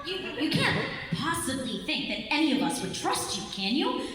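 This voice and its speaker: Protective, suspicious teen girl for animation; high, very animated delivery, live table read. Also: moderate pace, exaggerated voice. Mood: angry, distrustful. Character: fierce, protective, distrustful teenage girl in an animated adventure. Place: large reverberant convention hall. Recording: distant, very echoey hall, faint noise.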